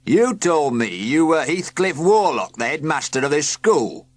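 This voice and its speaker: A stern, officious inspector who speaks with a slow, firm weight, as a reader puts him on, husky and a touch raised in pitch. He sounds like a bureaucrat in a children's storybook. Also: sing-song.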